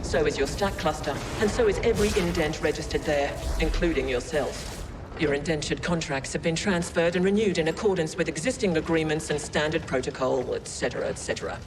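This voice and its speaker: A male actor as a haughty game villain from a ruling corporation. His voice sits a little high and carries condescending, formal disdain. It echoes through a big hall over noisy ambience. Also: close to an everyday voice. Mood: smug contempt. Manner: pompous, disdainful, measured formal phrasing. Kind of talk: scripted video game villain monologue. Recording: long hall reverb, noisy ambience behind.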